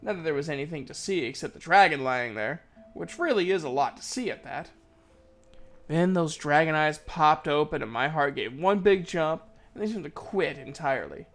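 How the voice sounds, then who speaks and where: A man's voice: on the high side, expressive, normal speed, soft background music. A man narrating a fantasy story in the first person, in a folksy, conversational storyteller's manner. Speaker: narrator, voice actor.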